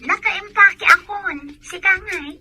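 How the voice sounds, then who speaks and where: A woman's voice: very high-pitched, takes it slowly, pushed and bright, faint music behind, full character voice. An actress in a radio drama playing a restless young rural woman eager for city life, with a Filipino accent. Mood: eager, insistent.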